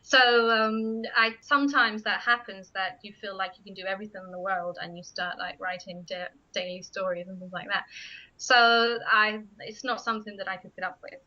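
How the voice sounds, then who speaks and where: A woman's voice: mid-range voice, steady tone, moderate pace, sharp tone. A woman from Spain, a native Spanish speaker, answering interview questions about her writing with frequent hesitant restarts. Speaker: guest writer. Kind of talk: radio interview. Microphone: studio microphone. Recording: muffled radio studio audio.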